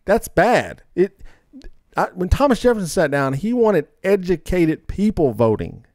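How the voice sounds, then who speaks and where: A man's voice: sits high, big pitch swings, unhurried, darkish tone, no echo, clean studio sound. A man co-hosting a podcast, talking in a casual, opinionated and rambling way. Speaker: podcast co-host.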